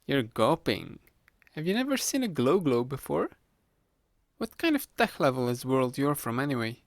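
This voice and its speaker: Sly young male roommate, acted by a man: playful, manipulative hypnotist for ASMR roleplay, creaky voice, close dry mic, unhurried delivery. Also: medium pitch, big pitch swings, no echo. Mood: relieved, friendly. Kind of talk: ASMR roleplay, addressed to the listener. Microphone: close studio-style microphone, dry.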